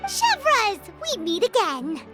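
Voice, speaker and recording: A tiny floating fairy from a video game, with an extremely high, squeaky child's voice. It swoops up and down wildly, eager and curious, over loud background music. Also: female voice, wildly swooping pitch, slow, heavily acted. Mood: chirpy. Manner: refers to self in third person, eager. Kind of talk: video game character voice acting. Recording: game music loudly behind the voice.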